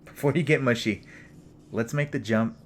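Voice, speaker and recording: An actor playing a nervous, joking older-brother ship pilot. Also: male voice, medium pitch, slight background noise, natural voice. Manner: nervous, joking, fast-talking bluffs. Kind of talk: fan audio drama acting.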